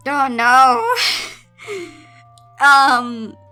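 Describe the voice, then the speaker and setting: A woman's voice: sits high, drawn-out words, bright, forceful tone, faint music behind. A woman reading a story aloud dramatically, with casual, incredulous asides and theatrical exasperation. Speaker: host reading and reacting to fan fiction.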